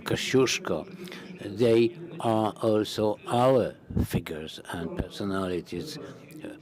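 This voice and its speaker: A man interpreting a parliamentary conference into English, halting, with many fillers and restarts. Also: lowish voice, darkish tone.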